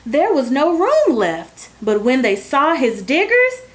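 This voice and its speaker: Slow, lively woman reading a storybook. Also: high-pitched. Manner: expressive, deliberate phrasing for young listeners. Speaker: storyteller reading a picture book aloud. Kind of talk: read-aloud children's bedtime story.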